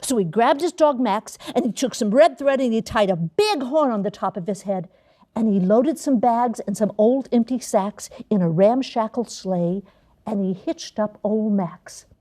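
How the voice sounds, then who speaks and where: A woman reading a children's storybook aloud to schoolchildren in a theatrical, expressive manner, stretching key words. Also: fairly high pitch, moderate pace. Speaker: storybook reader, college dean. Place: elementary school classroom.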